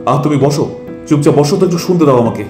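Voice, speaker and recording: A man playing a concerned, bossy middle-aged father, speaking insistently in an animated family drama. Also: a little high, rapid-fire, natural voice. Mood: grave, insistent. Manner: insistent, repeats short commands. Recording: roomy echo with music behind.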